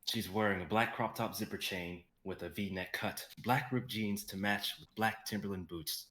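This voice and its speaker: Young male narrator for a staged script reading, lowish pitch, sharp bright tone. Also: moderate pace. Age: young adult.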